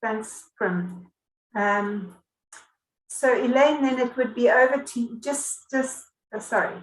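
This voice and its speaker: Slow-spoken South African woman chairing a call. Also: fairly high pitch. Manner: slow, hesitant, polite. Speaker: session chair. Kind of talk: chairing an online colloquium. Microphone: video call. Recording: echoey room, faint background noise.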